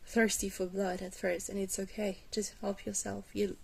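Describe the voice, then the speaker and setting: A woman playing a glamorous vampire mentor in an ASMR roleplay, patient, reassuring and gently wry, with a soft, hesitant delivery. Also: mid-range voice, little pitch movement, normal speed, creaky, breathy edge. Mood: reassuring, instructive.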